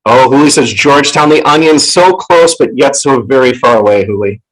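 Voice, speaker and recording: A man with a loud voice hosting a casual live stream in a playful, showman-like way, close to the microphone. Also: mid-range voice, normal speed, rough recording. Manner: playful showman, theatrical announcing. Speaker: show host. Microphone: close professional microphone on an online call.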